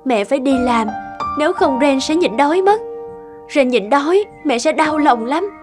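A woman voicing a gentle, sorrowful young mother soothing a child in a cartoon. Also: high voice, animated, moderate pace. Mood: tender, sad. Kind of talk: Vietnamese cartoon dubbing. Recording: long reverb, music underneath.

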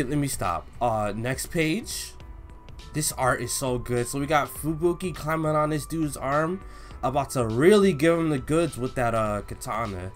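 A young man doing casual, slangy reaction commentary and read-along, expressive and given to drawn-out exclamations. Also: mid-range voice. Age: young adult. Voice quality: slangy, informal. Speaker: YouTube reaction host. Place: small indoor room at home.

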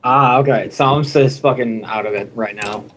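A man's voice: mid-range voice, moderate pace, fairly bright tone. A man in a casual amateur group read-along, talking bluntly and swearing. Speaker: amateur reader in a group script reading. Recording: noisy mic with clicks.

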